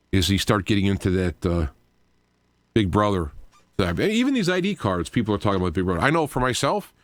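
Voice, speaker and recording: A man's voice: deep voice, dry recording. A man hosting a live radio show in an informal, rambling monologue, explaining things as he goes with frequent asides.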